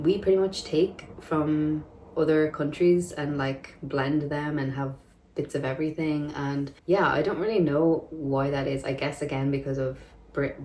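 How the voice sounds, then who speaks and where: A young woman with an Irish accent chats easily to her camera, her voice low and warm. She strings her points together with lots of "like" and small laughs. The sound bounces around an echoey indoor room, with a faint hiss of background noise. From Ireland. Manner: chatty, frequent "like" fillers, laughs between points. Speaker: vlogger. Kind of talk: casual chat to camera.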